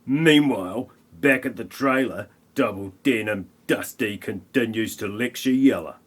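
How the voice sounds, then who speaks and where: A man's voice: on the low side, a little slow. A man giving scripted comic narration for an audio play, with a mock-dramatic storytelling manner.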